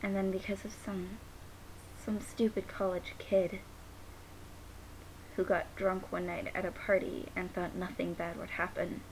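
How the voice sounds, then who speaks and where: A young woman playing a grieving, guilt-ridden daughter in a dramatic monologue, halting and sometimes nearly whispering. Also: medium pitch, a little mellow, close to an everyday voice, poor audio. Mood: wistful grief. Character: grieving, guilt-ridden daughter who lost her father. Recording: noticeable background hiss.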